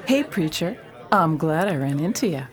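A woman's voice: deep voice, big pitch swings, moderate pace, a faint crowd. An actress playing a brash young woman who mocks and defies a preacher in a radio drama. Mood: coaxing. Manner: sarcastic, defiant.

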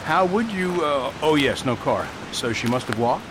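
An actor playing a gentle, absent-minded priest detective in a radio drama, hesitant and courteous. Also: male voice, fairly high pitch, very animated, moderate pace, background noise. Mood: dreamy, apologetic. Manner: hesitant, polite.

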